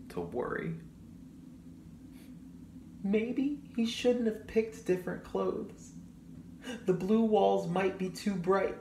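A young man reading a picture book to children, expressively, with chatty asides to his young listeners. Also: mid-range voice. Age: young adult. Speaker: children's storybook reader. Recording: roomy echo, audible background noise.

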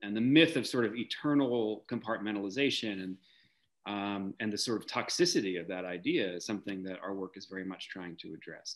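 A man in his forties with a slightly low voice, speaking as an interview guest over a video call. He is articulate and reflective, building long thoughts in a measured way and pausing to find the right word. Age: 40s. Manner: measured, articulate, searches for words with frequent pauses. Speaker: interview guest, theater director. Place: indoor room, video call.

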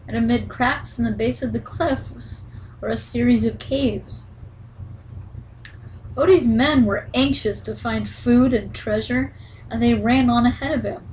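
Casting: female bedtime storyteller, folksy, slightly high voice, faint background noise. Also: low-quality recording. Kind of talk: bedtime story narration, scripted.

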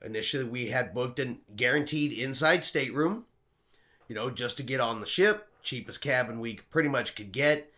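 A man's voice: medium pitch, moderate pace, bright, forceful tone. A middle-aged man hosting a cruise news vlog, chatting upbeat and casual to the camera from a room at home. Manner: upbeat, chatty, talks with his hands. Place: home room with shelves and a wall map, indoors.

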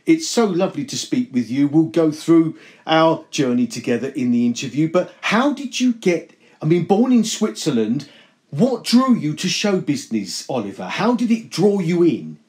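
A man's voice: a little high, sing-song, moderate pace, a little mellow. A man hosting a radio show, interviewing a guest, effusive and full of fillers.

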